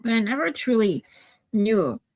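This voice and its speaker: A woman's voice: fairly high pitch, very animated, measured pace, darkish tone. A woman in her forties with a stuffy nose, chatting casually with viewers on a livestream, rambling with many ums and restarts. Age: 40s. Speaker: livestream host.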